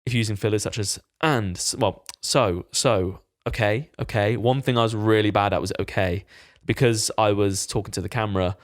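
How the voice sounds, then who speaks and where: A man co-hosting a podcast, chatting casually and quickly, rambling a little and swearing freely. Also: on the low side, fairly even intonation. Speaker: podcast co-host, fitness coach.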